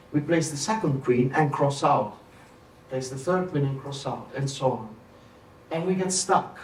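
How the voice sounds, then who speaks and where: A man's voice: on the high side, a little mellow, a little hiss or hum. Middle-aged man, echoey talk room. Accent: mixed Italian, German and English. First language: Italian. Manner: chatty, explains step by step, gestures at screen. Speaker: conference speaker. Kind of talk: technical talk with slides. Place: large meetup room with projector. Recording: echoey room, recorded from a distance.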